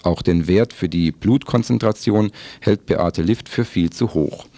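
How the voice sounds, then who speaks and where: A man presenting a radio magazine, explaining in a halting way as he rephrases between scripted passages. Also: sits low, vocal fry, warm tone, no room sound.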